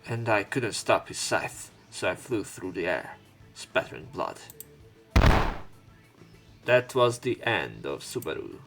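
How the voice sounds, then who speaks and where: A man's voice: fairly low pitch, sing-song, slow pace, gravelly, pauses often, fairly bright tone, faint music behind. A man hosting a let's play, reading visual novel narration aloud in a dramatic way, with commentary. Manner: acts out lines and exclamations.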